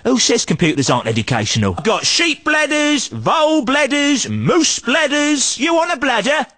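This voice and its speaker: A man's voice: very high voice, sing-song, normal speed, bright, forceful tone, no echo, heavily acted. An actor playing a cheeky male street hawker in a comic video game, reeling off a salesman's patter. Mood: hard-selling. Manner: salesman's patter, calling out wares.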